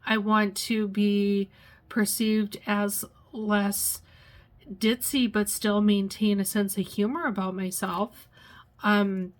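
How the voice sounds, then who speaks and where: A woman in her sixties sharing a personal vlog, pausing often and searching for words as she talks. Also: medium pitch, flat delivery. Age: 60s. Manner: halting, searches for words, many pauses and fillers. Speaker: vlogger.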